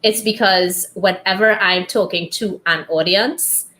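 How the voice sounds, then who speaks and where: A woman from Trinidad with a softened Trinidadian accent talks in a relaxed, friendly chat. She tells stories with bursts of laughter and little acted quotes, and her pitch sits in the middle for a woman. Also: a little slow. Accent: light Trinidadian, trained toward neutral. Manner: animated storyteller, laughs often, acts out quotes. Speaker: book club host. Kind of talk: casual chat.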